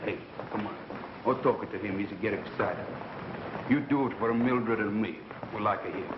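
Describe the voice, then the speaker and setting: An actor playing a gruff but fond Italian immigrant father, speaking broken English with an Italian accent in a 1930s film. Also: lowish voice, normal speed, lightly acted. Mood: encouraging. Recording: muffled, noisy 1930s film soundtrack.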